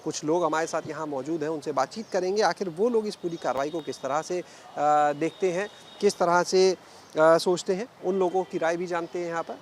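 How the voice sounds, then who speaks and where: Man, news field reporter, somewhat high pitch, on-location reporting, outdoor street, light urban background noise. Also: brisk. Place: outdoors on a street outside a mosque.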